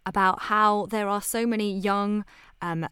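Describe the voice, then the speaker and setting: A woman hosts a podcast in a conversational interview style. Her voice sits at a middle pitch, and she talks like someone chatting through questions with a guest. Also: fairly even intonation, unhurried, fairly bright tone, clean tone, dry recording, clean studio sound. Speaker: podcast host.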